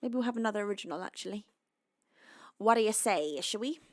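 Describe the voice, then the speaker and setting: A British woman, a singer-songwriter, chatting softly with her live stream audience between songs, with piano under her voice. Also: mid-range voice, animated, fast talker, dry recording, clean studio sound. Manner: soft, chatty between songs, sings gently.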